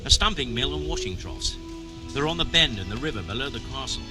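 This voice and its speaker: An actor playing a stern, middle-aged medieval mining chief in a video game, brusque and commanding, with formal wording. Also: male voice, mid-range voice, animated, a little fast, close to an everyday voice. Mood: businesslike, cautioning. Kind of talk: scripted video game dialogue. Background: music.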